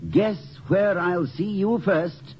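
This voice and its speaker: An actor playing an erudite, dignified college president with wry, formal eloquence in a 1950s radio comedy-drama. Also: male voice, sits high, slow. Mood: wry, defiant. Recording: muffled, noisy old 1950 radio recording.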